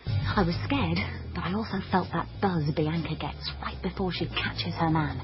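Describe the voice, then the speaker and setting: A British actress playing a self-important, overconfident amateur sleuth, narrating a radio comedy drama in melodramatic hardboiled style. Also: female voice, fairly low pitch, normal speed, muffled recording, close to an everyday voice. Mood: hardboiled, intent. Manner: melodramatic, pompous. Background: prominent music.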